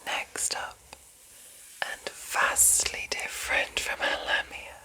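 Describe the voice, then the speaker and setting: Woman whispering very slowly, ASMR style. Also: bright, forceful tone, poor audio. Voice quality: breathy, unvoiced whisper. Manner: slow, deliberate, short phrases with long pauses. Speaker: host of an ASMR channel. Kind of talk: whispered ASMR reading and commentary.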